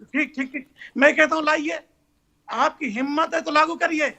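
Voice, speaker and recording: A man's voice: very high voice, steady tone, normal speed, vocal fry, crowd noise. An Indian man, a cleric on a heated televised debate panel, speaking in a combative, challenging way.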